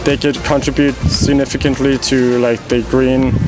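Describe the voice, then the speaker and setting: A man's voice: mid-range voice, little pitch movement, moderate pace, over music. Hesitant young man. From Norway. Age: young adult. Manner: hesitant, many fillers, light laughter. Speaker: international student.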